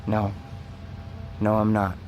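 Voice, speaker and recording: An actor playing Death as a calm, patient man in a radio drama, speaking in a measured, quietly insistent way. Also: on the low side, slight background noise. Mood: gentle, regretful.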